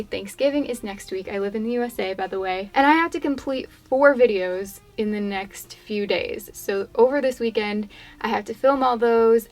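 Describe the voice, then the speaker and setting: A young woman with a congested, fading voice, chatting in a rambling, low-key way as she narrates her painting video. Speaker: craft YouTuber. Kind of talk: casual voiceover chat over a painting demo.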